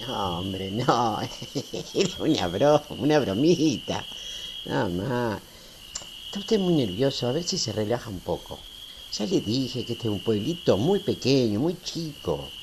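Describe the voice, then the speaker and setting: A man's voice: medium pitch, big pitch swings, background noise. An actor playing an easygoing, teasing small-town railway man in a radio drama, folksy. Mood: reassuring. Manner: folksy, unhurried, conversational.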